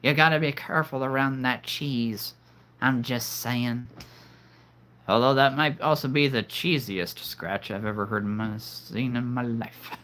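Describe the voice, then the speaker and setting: A man's voice: medium pitch, a little slow, fairly bright tone, slight background noise. A man hosting a live stream, rambling casually and making cheesy jokes to his viewers. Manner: rambling, jokey, punning.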